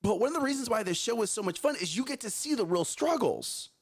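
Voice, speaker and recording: A man's voice: very high voice, animated, fast, husky. A man co-hosting a live morning talk show, speaking in a strong, carrying voice. He talks energetically, repeats words and keeps checking in with "right?" and "okay?".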